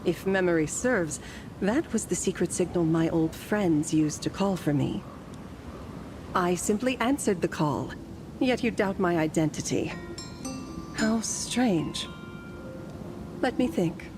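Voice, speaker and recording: A woman voicing an ancient, serene female guardian in a story game, with formal, measured, gently teasing phrasing. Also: lowish voice, over music. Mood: gently chiding.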